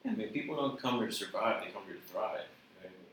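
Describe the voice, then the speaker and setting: Guy interviewing folks, laid back, lower bright voice, sounds far from the mic. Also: rapid-fire. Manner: relaxed, loose, affirming with "gotcha" and "mm-hmm". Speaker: interviewer host. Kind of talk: casual interview. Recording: echoey room, distant mic, light noise.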